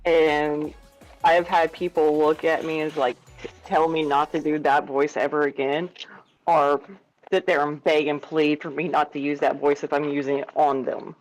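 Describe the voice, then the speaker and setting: A man with a light, feminine-sounding voice, chatting casually as a stream co-host and quickly correcting himself. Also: fairly high pitch, little pitch movement, faint music behind, rough recording. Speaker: livestream co-host. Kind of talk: casual chat.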